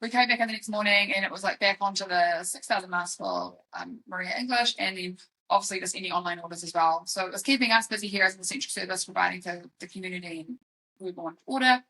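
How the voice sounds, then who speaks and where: A woman's voice: medium pitch, fast talker, pushed and bright, low-quality recording. A young adult woman, chatting casually and informally as a podcast co-host. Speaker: podcast co-host and fashion company staff member. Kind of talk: casual chat.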